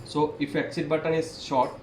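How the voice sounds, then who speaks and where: Man giving halting tutorial, echoey noisy room. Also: on the high side, flat delivery, a little mellow. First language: not English. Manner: halting, repetitive, step-by-step explaining. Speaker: tutorial presenter, installer. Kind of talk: hands-on technical demonstration.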